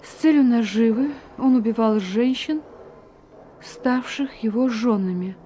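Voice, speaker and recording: An actress voicing an anxious whispering young wife. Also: female voice, a little high, a little slow, warm tone, slight background noise, lightly acted. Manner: agitated, often whispering to herself. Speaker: heroine and narrator of a radio play. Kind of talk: radio play acting.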